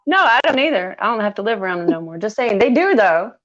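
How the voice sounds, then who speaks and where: A woman's voice: mid-range voice, sing-song, quick pace. A woman in her fifties hosting a casual live video chat, laughing often and talking in a folksy way. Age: 50s. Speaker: live stream host. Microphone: video call. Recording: dull lo-fi video call audio.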